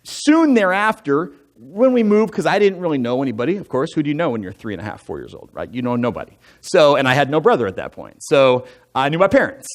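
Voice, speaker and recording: A man in his forties, an elder from the United States, telling his life story to a church congregation in a relaxed, conversational way, full of asides and jokey fun facts. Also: on the high side, fast talker, few pauses. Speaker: church elder giving a testimony. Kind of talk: personal testimony talk from the pulpit. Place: church sanctuary.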